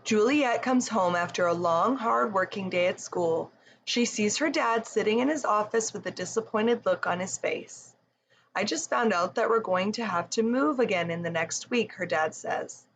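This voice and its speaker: A woman's voice: mid-range voice, normal speed, a little hiss or hum. A woman narrating a children's book as a scripted read-aloud. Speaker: storybook narrator.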